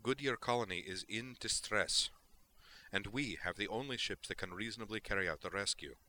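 Man voicing a fiery young alien woman, forceful. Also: fairly low pitch, normal speed. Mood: formal, determined. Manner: impassioned, formal, defiant. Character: fiery young female alien pleading before admirals.

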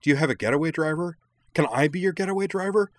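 Man voicing an excitable, high-pitched young woman racer. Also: moderate pace, dry recording. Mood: gleeful excitement. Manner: gushing, theatrical, excitable. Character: exuberant, playful young woman racer, cheerfully melodramatic.

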